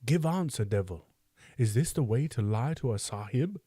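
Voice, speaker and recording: A man voicing a proud, quick-tempered teenage boy in an audiobook, speaking in indignant, boastful outbursts. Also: medium pitch, expressive, warm tone, husky, no echo, studio quality. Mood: demanding. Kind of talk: audiobook character voice.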